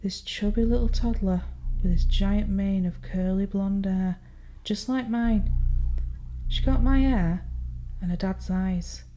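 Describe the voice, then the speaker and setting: An actress playing an unrepentant, numb woman murderer confessing from prison in an audio horror drama, speaking in a confiding, deliberate way with halting repetitions. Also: mid-range voice, dark tone, a little hiss or hum, lightly acted. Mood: numb, haunted. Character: jailed woman murderer, chillingly candid, numb, unrepentant mother.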